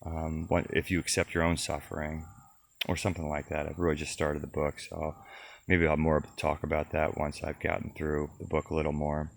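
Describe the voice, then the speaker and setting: A man's voice: extremely low pitch, completely flat delivery, fairly quick, gravelly. An American man talking casually and reflectively into a handheld camera outdoors, rambling and trailing off as in a personal video diary. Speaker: solo bike-tour vlogger. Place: outdoors at a grassy hilltop campsite.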